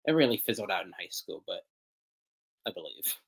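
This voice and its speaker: A man's voice: low-pitched, very animated, fairly quick, creaky, slightly rough. Young man rambling with frequent laughs. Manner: rambling, self-deprecating, laughs often mid-sentence, many um fillers and restarts. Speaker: podcast guest. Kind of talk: candid personal interview talk.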